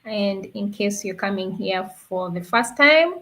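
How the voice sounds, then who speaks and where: A woman's voice: medium pitch, lively intonation, measured pace, bright, forceful tone. A woman from Kenya co-hosting a live online talk and presenting to viewers. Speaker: co-host of an online business talk show.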